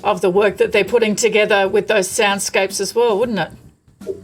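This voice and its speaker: A woman's voice: mid-range voice, steady tone, normal speed. An Australian woman hosting a casual interview in an appreciative, encouraging manner. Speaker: interviewer, host.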